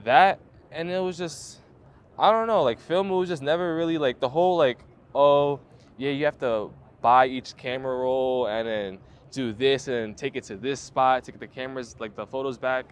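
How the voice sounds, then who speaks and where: A man's voice: mid-range voice, moderate pace, clear, resonant tone. A man in his twenties, a photographer, telling his story in a laid-back interview with lots of slang, fillers and swearing. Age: 20s. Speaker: photographer guest.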